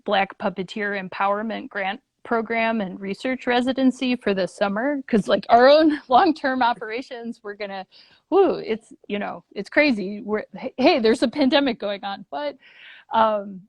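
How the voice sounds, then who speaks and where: A woman's voice: a little high, moderate pace, dry recording. A woman speaking casually as an interview guest, earnest, thinking aloud in long, looping phrases. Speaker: guest, puppet theater leader.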